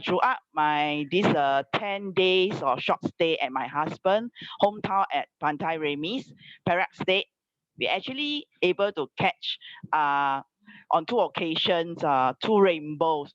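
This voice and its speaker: A woman's voice: sits low, animated, unhurried, dry recording. A woman from Singapore, giving a polite, formal testimony as a guest speaker. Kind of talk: testimony sharing. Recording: dry, dull online call audio.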